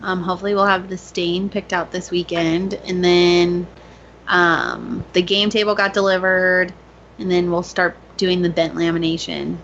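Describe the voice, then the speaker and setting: A woman with a fairly low voice talks casually as a podcast co-host. The recording is slightly dull and lo-fi, with some faint ambient noise behind her. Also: fairly bright tone. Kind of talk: casual chat. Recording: dull lo-fi audio with background noise.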